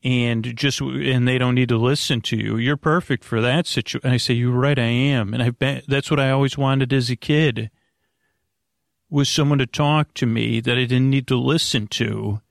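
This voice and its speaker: A man with a creaky, gravelly voice, hosting a podcast in a meandering, halting bedtime monologue that keeps interrupting itself. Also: medium pitch, steady tone, no room sound, clean studio sound. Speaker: podcast host. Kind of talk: rambling bedtime monologue to help listeners sleep.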